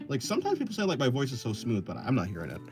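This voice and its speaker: A man's voice: mid-range voice, wildly swooping pitch, rapid-fire, faint music behind. A man in his twenties chatting casually on a livestream while drawing, thinking aloud and answering chat in loose, restarting sentences. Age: 20s. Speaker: streamer.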